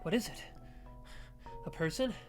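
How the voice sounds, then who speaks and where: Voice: mid-range voice, lively intonation, a little slow, over music, close to an everyday voice. A game player voicing an awkward, easily flustered teenage boy in a hesitant, stammering way. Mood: uneasy. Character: Nick, awkward easily flustered teenage boy, recent high school grad. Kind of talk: visual novel voice-over, dramatized reading.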